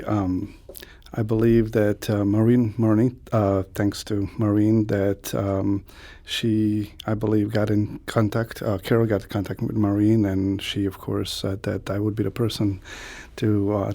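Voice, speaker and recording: A man from Croatia, an accordionist, answering radio interview questions with many pauses and fillers. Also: fairly low pitch, level tone, warm tone. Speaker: guest accordionist.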